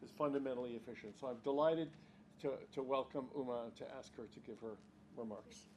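Session chair: male, medium pitch, halting informal introductions, light room noise behind. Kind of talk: spoken conference introduction.

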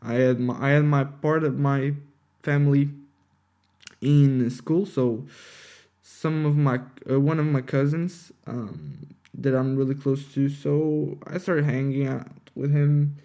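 A nineteen-year-old man, a native Portuguese speaker with a Portuguese accent, hosting an unscripted personal podcast in a halting, hesitant way, often searching for words. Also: mid-range voice, mellow. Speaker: podcast host.

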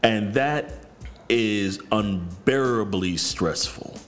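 Podcast guest: unhurried deep-voiced man, frank, swears freely. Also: a bit bright, faint music behind. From New York. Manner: direct, blunt, swears freely. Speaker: guest, counselor. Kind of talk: candid podcast conversation.

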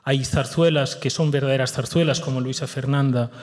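A man's voice: mid-range voice, fairly even intonation, normal speed, a little mellow. A man serving as music director on a post-show panel, speaking thoughtfully, with frequent hesitant restarts. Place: theater stage or hall. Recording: reverberant hall.

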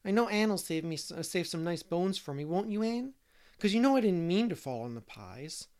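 Man putting on a whiny, high-pitched small boy. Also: expressive, a little fast, darkish tone. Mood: wheedling. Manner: whimpering, wailing, wheedling. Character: small mischievous boy, whiny, pleading and cheeky.

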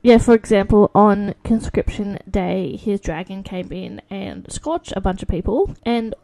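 A Scottish woman co-hosting a casual book chat, playful and quick to giggle. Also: mid-range voice, warm tone, rough recording. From Scotland. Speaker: book podcast co-host.

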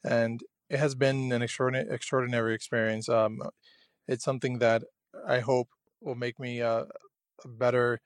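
A young man from Cuba talks earnestly and haltingly, stopping and starting often. His voice stays level and even, with little rise and fall. He sounds close to the mic in a dry, dead room. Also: medium pitch, dry recording. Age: young adult. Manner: hesitant and earnest, many fillers and restarts. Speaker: junior physician. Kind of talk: personal radio diary.

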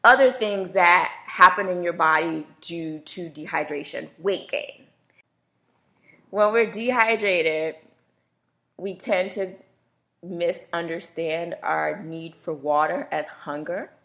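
A woman's voice: on the low side, takes it slowly, a bit bright. Chatty woman hosting a health radio show. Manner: chatty, laughs, voices others playfully. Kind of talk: casual radio talk.

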